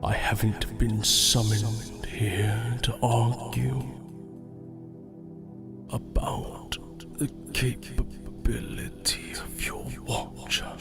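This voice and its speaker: An actor playing a sinister, sly supernatural tempter in a horror audio drama, whispering formally in a breathy, creaky voice. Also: medium pitch, flat delivery, extremely slow. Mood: sly, coaxing. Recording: loud music behind the voice.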